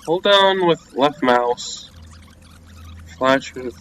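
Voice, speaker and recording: A man's voice: medium pitch, expressive, very slow, fairly bright tone, a little hiss or hum. A young man playing a game on video, reading its text aloud and reacting in casual, jumpy slang as he talks to himself. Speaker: gaming video creator.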